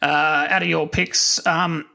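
A man hosting a casual podcast interview, chatting informally with his guest in a husky, gravelly voice. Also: a little high, level tone, slow, sharp tone. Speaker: podcast host.

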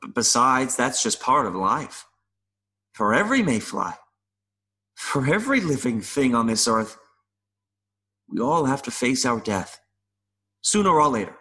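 A gentle little mayfly, played by a man, speaks at a slow, measured pace. He is earnest and philosophical, full of wistful longing for the wider world, with small hesitant stammers. The delivery is that of an intimate audio play. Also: mid-range voice, sing-song. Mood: gently philosophical.

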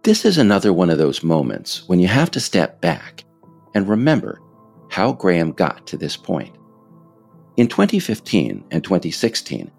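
An American man reading scripted political narration in a measured, emphatic, explanatory way. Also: low voice, vocal fry. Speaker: author narrating his audiobook episode.

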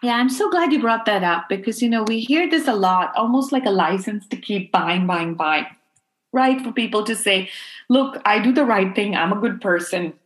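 A woman's voice: mid-range voice, animated, moderate pace. A middle-aged woman from Pakistan, a guest speaking earnestly and passionately in an interview, often saying "you know". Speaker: guest, fashion activist. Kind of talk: interview answers.

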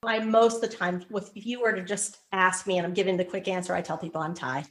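A woman guest in an interview, telling lively personal stories with frequent restarts. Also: lowish voice, quick pace. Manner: animated storyteller, frequent restarts. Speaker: podcast guest. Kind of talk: conversational interview.

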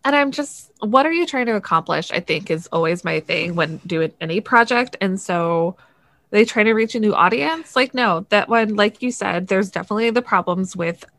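A woman co-hosting a podcast in a casual chat. Her voice is mid-pitched and recorded dry, with hardly any room sound. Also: expressive, normal speed, fairly bright tone, no room sound. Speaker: podcast co-host.

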